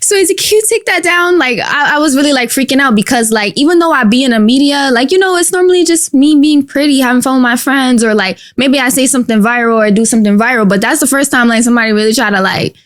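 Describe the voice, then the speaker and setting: A woman's voice: high-pitched, fast talker, hardly any pauses. A young woman in her twenties with a New York accent, a guest rapper chatting casually in a podcast studio with lots of slang and fillers. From Queens, New York. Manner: animated, slangy, lots of likes and you-knows. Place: small dark podcast studio. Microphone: studio mic on boom arm.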